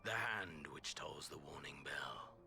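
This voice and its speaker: An actor playing a cold, menacing imperial warlord in a video game, speaking slowly and grandly in a breathy, gravelly near-whisper. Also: male voice, rumbling low, animated, background noise, exaggerated voice. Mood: cold menace. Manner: slow, grandiose, archaic formal speech. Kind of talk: video game cutscene acting.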